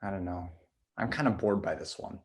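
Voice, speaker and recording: A young man acting on stage as an offhand, quietly morbid dating partner, speaking in a casual, halting way. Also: low voice. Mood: bored. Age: young adult. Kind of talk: student stage acting, naturalistic dialogue.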